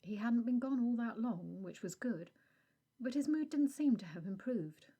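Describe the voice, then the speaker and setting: A woman reading a scripted audio story as narrator, in a measured storytelling delivery. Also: a little high, animated, warm tone, breathy edge.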